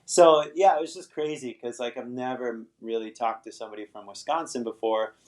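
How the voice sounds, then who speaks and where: A man's voice: mid-range voice, a little fast, darkish tone. A middle-aged man hosting an English-teaching livestream, chatting casually with viewers and rambling, often tagging "right?" onto his points. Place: Los Angeles.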